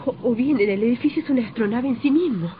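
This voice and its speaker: An actress playing a terrified, pleading young wife in an old radio drama, breathless and melodramatic. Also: female voice, a little high, fast talker, lightly acted. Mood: fearful. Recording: muffled old radio recording, clear noise.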